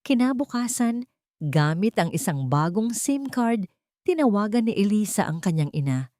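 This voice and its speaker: A woman's voice: mid-range voice, big pitch swings, normal speed, dark tone, dry recording, studio quality. A woman with a Filipino accent narrating a dramatic scripted story.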